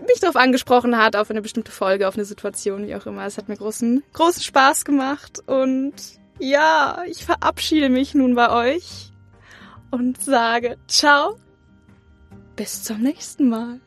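High-voiced young woman, casual podcast chat. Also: unhurried, fairly bright tone, soft background music. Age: young adult. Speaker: podcast co-host, drama student.

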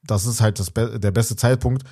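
A man co-hosting a casual podcast, using slang and thinking out loud, often trailing off mid-sentence. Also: on the low side, steady tone, moderate pace. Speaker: podcast co-host. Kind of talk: casual chat.

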